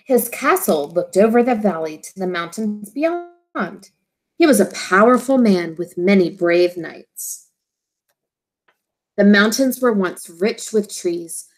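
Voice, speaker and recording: A woman teacher reading a children's storybook aloud, expressively and in simple, deliberate phrases for young listeners. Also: mid-range voice, animated.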